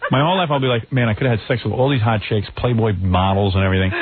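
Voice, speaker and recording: A man's voice: lowish voice, racing, husky, no room sound. A man hosting a radio show, riffing in a sarcastic, joking way. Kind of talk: casual radio banter. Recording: tinny phone-like radio broadcast.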